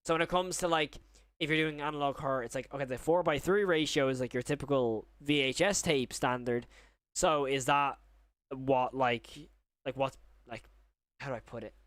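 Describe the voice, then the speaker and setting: A man's voice: medium pitch, moderate pace, fairly bright tone, dry recording, studio quality. A young man chatting casually on a live stream as he reacts to videos and chat, rambling and often rephrasing himself mid-thought.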